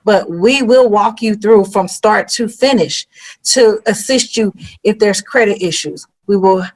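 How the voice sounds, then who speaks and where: A woman's voice: medium pitch, a little slow, a little mellow, rough recording. A woman banker answering on a forum panel. She reassures the audience and often fills her sentences with "you know.".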